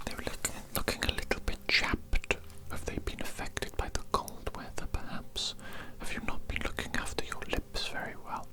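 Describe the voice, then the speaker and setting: A man whispering in a breathy, unvoiced voice, playing an eccentric, fussy doctor in an ASMR roleplay, with repetitive phrasing and a close microphone. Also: low-quality recording. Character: eccentric, fussy evil doctor pampering a captive patient. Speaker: ASMR performer. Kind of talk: whispered ASMR roleplay of a personal grooming session.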